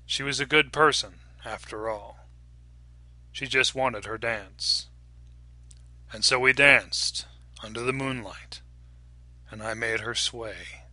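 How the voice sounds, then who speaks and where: Bright, crisp-edged man narrating horror. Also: mid-range voice, takes it slowly, pauses often, dry recording. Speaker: story reader. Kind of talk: dramatic audiobook narration of a horror story.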